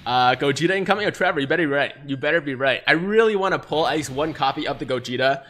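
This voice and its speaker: Excitable young man streaming live. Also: fairly high pitch, fast. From China. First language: Mandarin. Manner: excitable, hyped, chatty, reading chat aloud. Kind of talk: live-streamed gaming commentary. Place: small room, seated in gaming chair. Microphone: headset.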